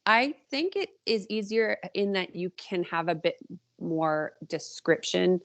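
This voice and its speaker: Woman, podcast guest, lowish pitch, gravelly vocal fry, casual, reflective. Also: expressive, measured pace. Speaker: podcast guest, indie author. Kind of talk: casual interview chat.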